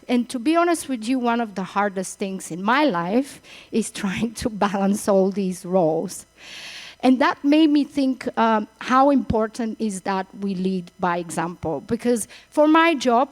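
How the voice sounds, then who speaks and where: A middle-aged woman with a Bulgarian accent giving a conference speech, with hesitant phrasing and repeated words. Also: mid-range voice. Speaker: conference speaker. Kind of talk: opening speech at a summit.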